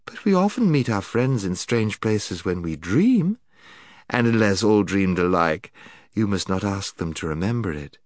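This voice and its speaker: A man voicing a sweet, coaxing, half-mocking witch queen in a storybook, with soft, slow, courtly speech. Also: lowish voice, big pitch swings, no echo, studio quality. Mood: coaxing, amused. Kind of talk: dramatised storybook reading.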